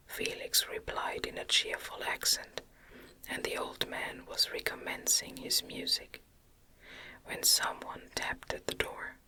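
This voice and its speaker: Female ASMR audiobook reader: unhurried, soothing whisper throughout, breathy and unvoiced. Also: low-quality recording. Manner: gentle, soothing, deliberate. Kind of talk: ASMR whispered novel reading.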